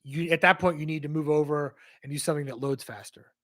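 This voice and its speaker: Guy doing a live q&a, kinda higher voice, going hoarse, rambles a lot. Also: fairly even intonation, fast talker, fairly bright tone. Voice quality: strained, hoarse. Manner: rambling, conversational, reads out and answers chat questions. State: losing his voice. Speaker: livestream host answering viewer questions. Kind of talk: casual livestream Q&A.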